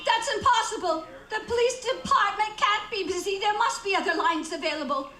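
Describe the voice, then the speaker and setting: A frantic, easily outraged wife in a radio drama, pitched extremely high and shrill. She is imperious and stammers with melodramatic indignation. The room echoes around her. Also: a little fast, bright, forceful tone. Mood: desperate, pleading. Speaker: actor in radio play. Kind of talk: radio theater acting.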